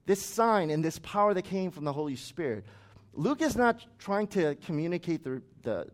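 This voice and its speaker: Pastor preaching in a slightly high voice. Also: male voice. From United States. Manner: conversational preaching with chatty asides. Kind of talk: sermon.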